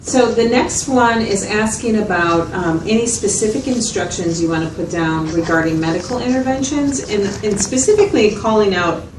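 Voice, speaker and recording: A woman's voice: mid-range voice, moderate pace, hardly any pauses, natural voice. A woman playing a patient mental health facilitator in a role-played client interview, asking checking questions and summing up with hesitant phrasing. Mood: calm, checking. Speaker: clinician facilitator. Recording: roomy, distant mic, loud background noise.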